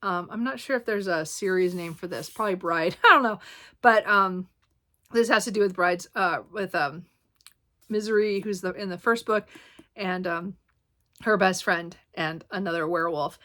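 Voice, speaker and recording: A woman chatting casually about her new books, rambling with many ums and self-corrections, a little hoarse and clearing her throat. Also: lowish voice, moderate pace, bright, forceful tone. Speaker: book vlogger. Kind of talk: casual book haul chat.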